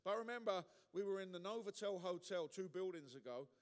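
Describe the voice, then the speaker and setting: Male pastor, high-pitched voice, emphatic colloquial sermon delivery, hall reverb. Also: lively intonation, a little fast. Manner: emphatic, colloquial, with rhetorical questions and calls for amen. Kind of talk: passionate sermon preaching.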